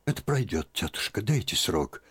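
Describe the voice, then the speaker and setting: A man voicing a devout young woman who speaks quietly and sadly. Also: fairly low pitch, sing-song, moderate pace, husky, voice pushed up, full character voice. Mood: subdued. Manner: hushed, subdued, soft-spoken.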